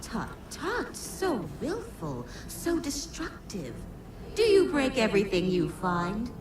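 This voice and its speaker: A woman's voice: on the high side, wildly swooping pitch, slow, distant mic, a loud crowd, heavily acted. A woman playing a seductive, mocking illusionist villainess in a video game, with theatrical, toying delivery. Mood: taunting. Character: taunting seductive illusion-casting concubine villainess. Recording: echoing voice, loud background noise.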